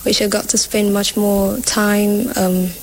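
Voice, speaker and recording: A young woman from South Africa, a high school senior, giving informal interview answers. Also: level tone, takes it slowly, poor audio. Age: teens to young adult, high school senior. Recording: clear ambient noise on location.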